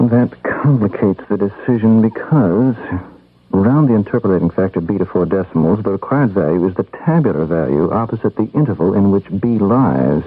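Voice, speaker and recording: An actor playing a measured, courteous consultant with a formal, precise manner in a radio drama. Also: male voice, on the low side, expressive, breathy edge. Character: measured, courteous male consultant feted as a brilliant decision-maker. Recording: tinny, narrow-band old radio, noisy.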